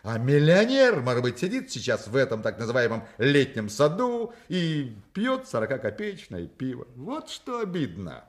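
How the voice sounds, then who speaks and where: An actor playing a sly, self-assured swindler in a radio play, speaking with ironic, theatrical grandiloquence. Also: male voice, medium pitch, huge pitch swings, normal speed, hardly any pauses. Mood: ironic lament.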